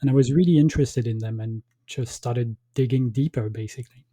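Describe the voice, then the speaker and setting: A man who grew up in Belgium and speaks French as his first language, giving measured, thoughtful interview answers with frequent pauses. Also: mid-range voice, warm tone, no echo. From grew up in Belgium, born in France. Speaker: guest academic.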